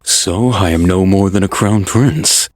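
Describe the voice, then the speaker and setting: A man playing a cool, composed young crown prince in an anime dub, speaking in a measured, restrained way. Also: deep voice, no room sound, studio quality. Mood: cool, probing.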